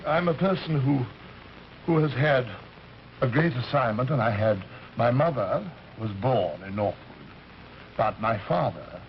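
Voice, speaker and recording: A man's voice: mid-range voice, close to an everyday voice. An actor in an old radio drama playing an urbane alien recruiter, polite and pompous, hesitating and rambling as he talks. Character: urbane, genteel alien recruiter posing as an Earthman. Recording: muffled, hissy old radio recording.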